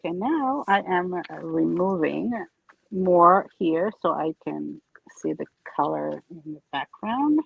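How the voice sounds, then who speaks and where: Woman, Israeli accent with New York traces, gravelly tone, chatty crafting demo host. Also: lowish voice, lively intonation, takes it slowly, dry recording, narrow, tinny audio. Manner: chatty, narrates her steps. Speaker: craft demonstrator. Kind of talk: live crafting demo chat.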